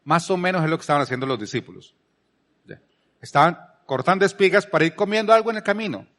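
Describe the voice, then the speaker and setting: A Colombian man preaches in a conversational style, his voice set a little higher than most men's. He drives points home by repeating phrases and poses rhetorical questions as he teaches. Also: animated, fast talker, a bit bright. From Palmira, Colombia. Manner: emphatic repetition, rhetorical questions. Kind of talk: conversational sermon.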